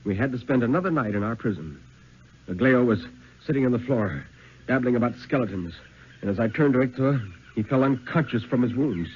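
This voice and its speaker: A man playing a steely, haunted lighthouse keeper who recounts his ordeal with dramatic, measured urgency in a 1950s radio reading. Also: medium pitch, expressive, husky. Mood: tense. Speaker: story reader. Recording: dull vintage radio recording.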